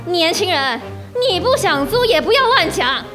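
A woman's voice: extremely high pitch, sing-song, moderate pace, over music. A woman voicing an old blind landlady in a student radio drama, frail and chatty but scheming underneath. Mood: indignant. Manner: shifts from frail chatter to sharp indignation. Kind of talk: amateur radio drama acting.

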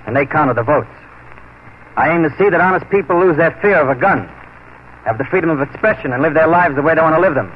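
A man's voice: on the high side, expressive, fast, natural voice. An actor playing a fearless, principled frontier lawman in a 1940s Western radio drama, speaking firmly, dryly and plainly. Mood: dry, resolute. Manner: firm, dry, plain-spoken. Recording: muffled, noisy 1940s radio recording.

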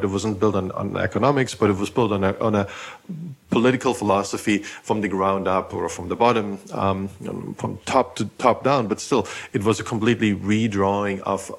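A man from Eastern Germany, hosting a podcast and asking long, rambling questions with many fillers. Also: lowish voice. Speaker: podcast host. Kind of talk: casual interview questions.

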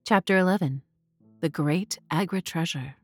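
A woman with a fairly low voice hosts and narrates a fiction podcast. Her delivery is scripted storytelling, with faint music playing behind her. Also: moderate pace, no echo.

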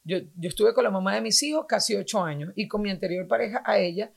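A Venezuelan woman in her forties, speaking as a guest in a casual conversation. Her mid-pitched voice has a gravelly edge, and she explains her points earnestly. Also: quick pace. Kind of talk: casual chat.

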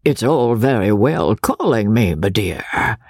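A man voicing a languid, foppish English gentleman, weak but good-humoured, with a sleepy affected British drawl in a storybook. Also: lowish voice, big pitch swings, takes it slowly, slightly rough, dry recording, studio quality, voice pushed down, exaggerated voice. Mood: amused, languid. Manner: affected, amused, lazily understated.

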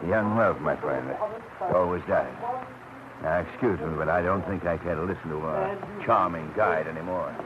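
Actor as very deep, sardonic man, old radio. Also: moderate pace, close to an everyday voice. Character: sardonic man soured on young love. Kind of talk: 1940s radio drama acting. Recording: very noisy, muffled old radio.

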